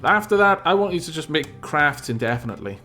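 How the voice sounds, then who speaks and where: Man musing over a game, lively pitch. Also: fast talker. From Wales. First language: Welsh. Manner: rambling, thinking aloud, dry asides. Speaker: let's play streamer. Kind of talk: casual gameplay commentary. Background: faint background music.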